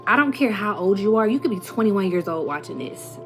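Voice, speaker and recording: A young woman giving a casual, emphatic motivational talk to her viewers, full of slang and little acted-out voices, with soft music playing underneath. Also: medium pitch, very animated, a little fast. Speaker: spiritual content creator.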